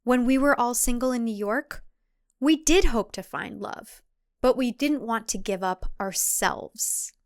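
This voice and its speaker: Defiant young thriller heroine, acted by a female narrator, highish voice, emphatic delivery. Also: a little slow. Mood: resolute. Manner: emphatic, indignant. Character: defiant, resolute young woman confronting a villain. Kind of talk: thriller audio drama acting.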